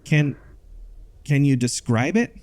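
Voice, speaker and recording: A man's voice: lively intonation, slow pace, darkish tone, no echo. A man playing an earnest, curious podcaster investigating creature sightings in a found-footage audio horror drama. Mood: urgent concern. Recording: noisy field recording, rumbling ambience.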